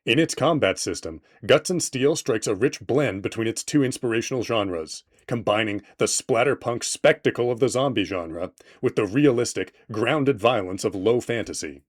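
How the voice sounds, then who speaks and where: A man's voice: medium pitch, expressive, normal speed, hardly any pauses. A man reading scripted promotional narration as a game creator presenting an introduction, with a playful, tongue-in-cheek manner.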